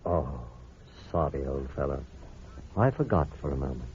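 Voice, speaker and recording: An actor playing a calm, evasive doctor with measured, polite speech in a vintage radio drama. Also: male voice, a bass voice. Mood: guarded. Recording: dull, noisy old radio recording.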